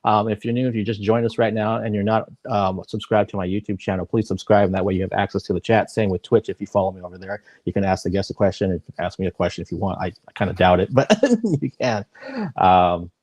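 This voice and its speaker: A man hosting a live-streamed video-call interview, chatty and relaxed, with many fillers and restarts. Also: lowish voice, fast talker, no echo. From United States. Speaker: interview host.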